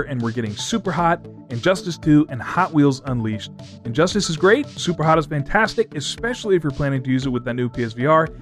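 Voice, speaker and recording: A man hosting a scripted entertainment news segment, reading as narration. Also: on the high side. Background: music.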